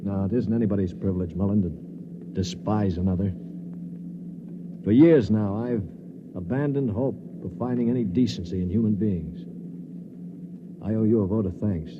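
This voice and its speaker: An actor playing a cynical, weak-handed captive aboard a spaceship in a 1950s radio drama, with dramatic delivery. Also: male voice, lowish voice, moderate pace, pauses often, background noise, lightly acted. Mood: earnest. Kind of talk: 1950s radio drama acting with first-person narration. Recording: muffled 1950s radio recording with music.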